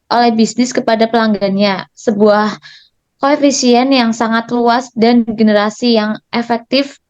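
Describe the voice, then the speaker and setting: Young female student, fairly high voice, reads then chats, dull lo-fi recording. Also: steady tone, measured pace, a little mellow, clear, resonant tone. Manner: casual asides, many "eh" fillers. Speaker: student presenter. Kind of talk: class presentation.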